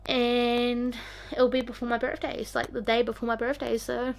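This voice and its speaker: A woman's voice: fairly high pitch, level tone, moderate pace, vocal fry, a little hiss or hum. A woman in her early twenties with a New Zealand accent, talking casually to camera as a vlogger in a rambling way full of "like" and "um". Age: early 20s.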